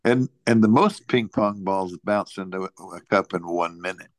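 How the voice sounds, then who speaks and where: A man co-hosting a podcast in casual chat, halting and repeating his phrases. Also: deep voice, dry recording. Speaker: podcast co-host.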